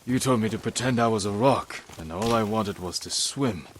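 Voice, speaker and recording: Male samurai lead, played by an actor, earnest and stoic, lower register, measured delivery for dramatic game scenes. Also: a little hiss or hum, lightly acted. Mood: reflective, restrained. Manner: measured, terse, serious. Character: stoic grown samurai lord, earnest, quietly caring. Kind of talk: restrained dramatic video game acting.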